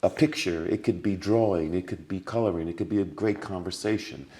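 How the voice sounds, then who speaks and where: Very deep-voiced man giving earnest spiritual advice. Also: fairly quick, darkish tone. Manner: emphatic, repeating key words and phrases. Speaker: podcast guest.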